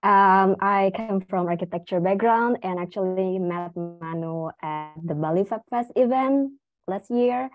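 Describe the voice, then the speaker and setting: A woman's voice: medium pitch, dry recording, muffled recording, soft background music. Woman, dry close sound. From Indonesia. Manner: careful, slightly halting. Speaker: design researcher. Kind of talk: self-introduction in an online class. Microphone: video call.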